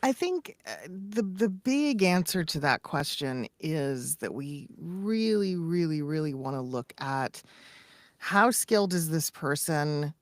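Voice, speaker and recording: A woman co-hosting a podcast as a voice actor and coach, explaining things casually with measured, emphatic phrasing. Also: low voice, lively intonation, dry recording. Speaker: podcast co-host.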